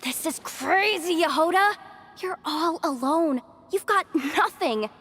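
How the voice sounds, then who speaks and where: A woman's voice: extremely high pitch, animated, slow pace, fairly bright tone, heavily acted. A young woman playing a plucky, frazzled, determined technician in a video game, talking herself through problems in hesitant starts and outbursts. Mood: giddy, determined. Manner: hesitant then bursting out, self-talking.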